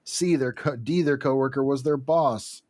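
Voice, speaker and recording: A man's voice: mid-range voice, normal speed, a little mellow, dry recording. A man co-hosting a podcast in casual chat, telling things in a rambling, digressive way. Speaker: podcast co-host.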